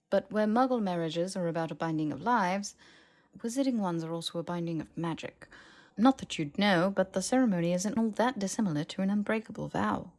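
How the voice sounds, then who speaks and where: A woman voicing a brisk, bookish, sceptical young woman in an audiobook, explaining and questioning with precision. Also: fairly low pitch, a little mellow. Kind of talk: audiobook character dialogue.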